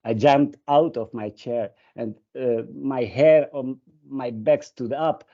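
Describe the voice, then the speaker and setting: A man's voice: mid-range voice, takes it slowly. A Hungarian-speaking man giving a webinar presentation, hesitant, with many fillers and restarts. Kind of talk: presentation with an anecdote. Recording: muffled video call audio.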